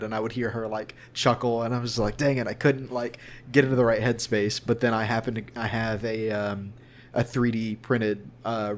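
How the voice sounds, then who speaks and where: A young man chatting casually as an interview guest, rambling and halting in a self-deprecating way. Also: lowish voice, a little hiss or hum. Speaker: voice actor guest.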